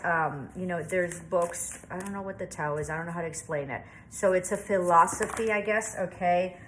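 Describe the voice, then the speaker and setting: A woman's voice: on the low side, fairly bright tone, slight background noise. Chatty, reassuring woman reading tarot. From Southwestern United States. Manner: chatty, reassuring, thinks aloud with many fillers. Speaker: tarot reader. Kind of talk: casual tarot reading to camera.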